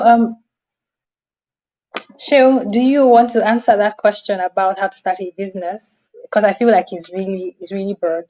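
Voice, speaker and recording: A woman's voice: medium pitch, warm tone. A woman hosting a live online event in a chatty, appreciative way. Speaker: host. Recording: dull, lo-fi online audio.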